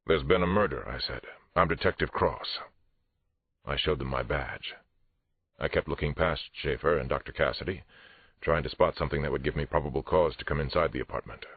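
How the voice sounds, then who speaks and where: A man's voice: a little fast, exaggerated voice. A man reading a first-person audiobook thriller as a police detective, tense, restrained and dramatic. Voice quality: very deep, husky. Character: male police detective narrator, angry but controlled. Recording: roomy, muffled phone-like audio.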